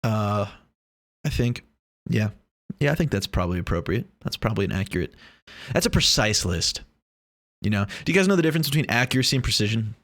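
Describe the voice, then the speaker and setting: A man's voice: lowish voice, animated, a little fast, pauses often, fairly bright tone, no echo. A man, rambling and joking in a casual vlog monologue, boastful and swearing freely. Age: 20s. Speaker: vlogger. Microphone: lav or shotgun mic.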